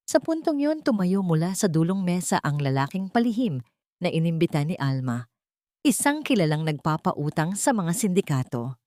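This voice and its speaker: A woman with a Filipino accent narrating a scripted story in a measured, formal and dramatic way. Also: fairly low pitch, dark tone, no room sound, clean studio sound.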